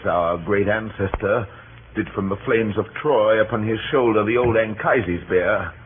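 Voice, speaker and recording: Casting: bitterly envious Roman conspirator, low-pitched, rhetorical verse for 1930s radio drama, tinny noisy broadcast sound. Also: male voice. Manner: declamatory, rhetorical verse delivery. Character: Cassius, scheming, bitterly envious Roman nobleman. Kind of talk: 1930s radio Shakespeare acting.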